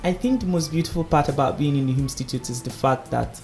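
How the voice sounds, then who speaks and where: A man, a singing contest finalist, telling stories in a playful, animated way on the radio, laughing often. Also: fairly high pitch, fast, soft background music. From Nigeria. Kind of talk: casual radio interview.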